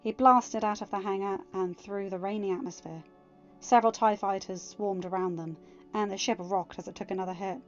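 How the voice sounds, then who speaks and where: A woman narrating a fan story in a steady reading voice, then chatting casually to listeners. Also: medium pitch, brisk, dull audio, faint music behind. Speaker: fanfiction audiobook reader.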